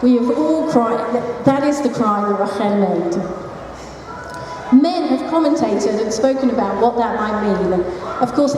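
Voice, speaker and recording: Woman, talk at a conference, chatty asides, noisy hall, distant mic. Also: fairly high pitch. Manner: chatty, self-deprecating, joking asides. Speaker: founder of a support group for single mothers. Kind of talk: conference presentation.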